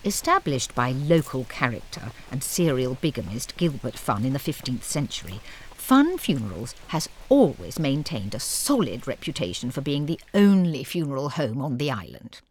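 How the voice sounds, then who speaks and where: A woman's voice: extremely low pitch, big pitch swings, normal speed, darkish tone, slight background noise, rough recording. A woman playing a genteel, wry narrator, reading scripted comic narration. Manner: wry, droll.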